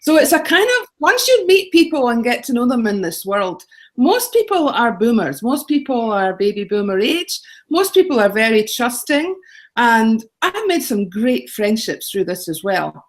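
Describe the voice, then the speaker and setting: A woman's voice: a little high, very animated, moderate pace. A middle-aged Scottish woman chatting as a guest in an interview, rambling through practical explanations with ums and little laughs. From Scotland. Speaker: guest, home exchange author. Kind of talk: casual interview talk.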